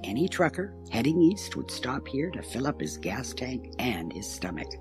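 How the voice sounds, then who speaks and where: Deep-voiced, folksy small-town mayor woman. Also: sing-song, moderate pace, over music. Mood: wry, proud. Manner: folksy, wry, chuckling storyteller. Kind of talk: audio drama interview acting.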